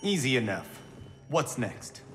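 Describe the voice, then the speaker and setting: An actor playing a proud, sardonic young Shaolin warrior monk in a video game, with formal diction and dry wit. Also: male voice, medium pitch, sing-song, a little slow, over loud music. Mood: wry.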